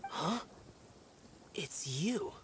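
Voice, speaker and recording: A man's voice: fairly high pitch, expressive, extremely slow, background noise. A man voicing an aloof young immortal warrior in a video game, grave, terse and formal. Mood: curt. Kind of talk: English video game voice acting.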